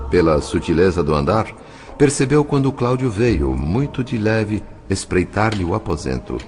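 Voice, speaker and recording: A man with a Brazilian accent narrating an audio novel in a solemn, literary, carefully phrased style. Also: low voice. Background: faint music.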